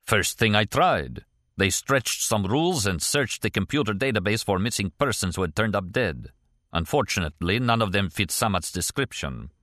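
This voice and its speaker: An older Russian defector acted by a male audiobook reader speaks in a low voice with a Russian accent. He is proud and shrewd, placing formal words deliberately. The sound is dry and close. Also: expressive, fairly bright tone, dry recording. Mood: precise, rueful. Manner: deliberate, formal, precise wording.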